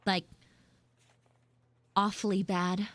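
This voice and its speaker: A woman voicing a bratty, dramatic tsundere anime girl in a live improvised audio roleplay, theatrical and whiny, with drawn-out words. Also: medium pitch, little pitch movement, a bit bright, no echo. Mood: whiny, sulky. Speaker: streamer, voice actor.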